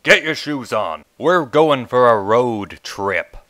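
A man's voice: mid-range voice, expressive, slow pace, fairly bright tone. A man voicing a crude, blunt, bossy adult detective in an anime parody dub. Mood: deadpan, brash. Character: brash, crude male detective, adult, gruff fatherly bully.

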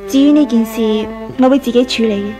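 A woman acting a well-off young married lady in a 1980s radio drama. Her voice is high and soft-edged, polite and composed, with a Hong Kong accent. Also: steady tone, quick pace, faint music behind, lightly acted. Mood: composed, firm. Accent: Hong Kong Cantonese. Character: gracious young married lady of a rich household, gentle, composed.

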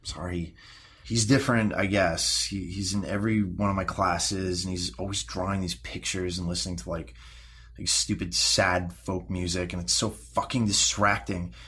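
A moody sixteen-year-old boy in an audio drama. His voice is low, with a forceful edge and some rasp. He talks in halting, hesitant bursts, guarded and quick to get frustrated. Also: flat delivery, full character voice. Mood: awkward, frustrated. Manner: halting, mumbled, hesitant teenage speech. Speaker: actor playing a therapy patient. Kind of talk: naturalistic audio drama dialogue.

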